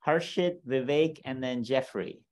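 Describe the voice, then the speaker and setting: Class host, video call, brief cues, businesslike, medium pitch. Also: unhurried, a little mellow. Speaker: class host calling on students. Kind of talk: moderating an online class.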